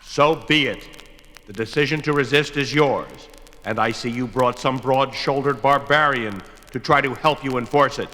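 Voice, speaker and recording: A sharp, forceful villain's voice acted by a man, ringing with long reverb. He plays a cold, imperious sorcerer prince in a seventies fantasy audio drama. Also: medium pitch, normal speed, lightly acted. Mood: cold menace. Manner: imperious, menacing. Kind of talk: 1970s audio drama acting.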